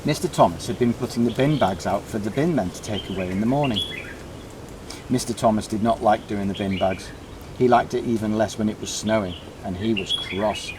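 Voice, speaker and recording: A man's voice: fairly low pitch, fairly quick. Man reading a children's story, noisy background. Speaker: storybook reader. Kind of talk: children's story read aloud.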